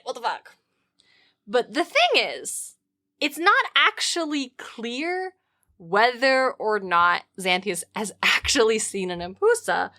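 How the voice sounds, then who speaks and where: A woman hosting a podcast in casual, comedic chat, animated and rambling with slangy asides. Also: mid-range voice. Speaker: podcast host.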